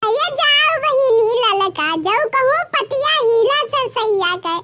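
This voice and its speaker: Squeaky, very high cartoon village gossip, Awadhi accent. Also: female voice, lively intonation, sharp tone, heavily acted. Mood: gossipy. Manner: giggly, chatty, rustic, drawn-out exclamations. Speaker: cartoon voice performer. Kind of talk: rustic comedy cartoon dialogue.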